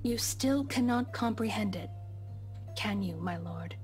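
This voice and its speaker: A woman's voice: medium pitch, a little slow, darkish tone, faint music behind, close to an everyday voice. A woman playing a formal, deferential young housemaid, obsessive and cryptic, in a visual novel game. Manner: formal, deferential, archaic phrasing.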